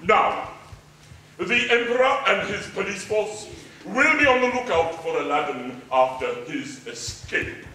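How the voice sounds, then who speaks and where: Panto villain male actor: sly false benefactor, theatrical and drawn-out, a little high, caught from far off with faint noise. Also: animated, sharp tone, heavily acted. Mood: scheming. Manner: grand, theatrical, deliberate. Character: smooth-talking, scheming pantomime villain posing as benefactor. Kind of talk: live pantomime acting. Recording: echoey, distant stage recording with faint noise.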